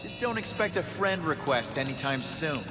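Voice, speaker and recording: A man's voice: a little high, animated, normal speed, lightly acted. A man playing a young, wisecracking, good-hearted superhero in a video game, with sarcastic, quippy delivery. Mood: wry, joking. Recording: roomy game audio under loud music.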